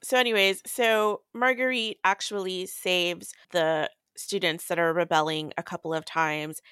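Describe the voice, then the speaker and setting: A woman's voice: fairly low pitch, steady tone, unhurried, a bit bright, clear, resonant tone, no echo, clean studio sound. A young adult woman hosting a podcast, recapping a book in casual, irreverent chat with crude humor and swearing. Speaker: podcast host.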